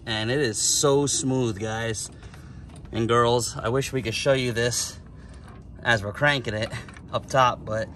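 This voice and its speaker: A man hosting a casual how-to video, narrating a trailer upgrade outdoors in a relaxed, plain-spoken way while holding the camera. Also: mid-range voice, a little hiss or hum. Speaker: DIY channel host. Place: outdoors on a concrete driveway. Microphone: camera mic, one hand on camera.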